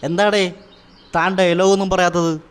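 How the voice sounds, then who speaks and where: A man's voice: high-pitched, level tone, moderate pace, background noise, lightly acted. A man voicing a dim-witted, good-natured animal sidekick in a cartoon comedy, sounding earnest and puzzled. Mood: curious, eager. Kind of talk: Malayalam children's cartoon comedy acting.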